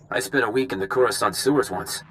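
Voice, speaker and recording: An actor playing a dutiful young field agent in a video game, speaking crisply and professionally. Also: male voice, medium pitch, moderate pace, bright, forceful tone, lightly acted, poor audio. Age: young adult. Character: eager, dutiful young male spy.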